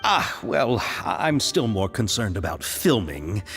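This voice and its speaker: Game role: earnest, anxious male film director, hugely swooping pitch, hesitant, music underneath, long reverb. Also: sing-song, measured pace, fairly bright tone, full character voice. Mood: worried, apologetic. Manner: hesitant, flustered, effusive. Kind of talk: video game voice acting.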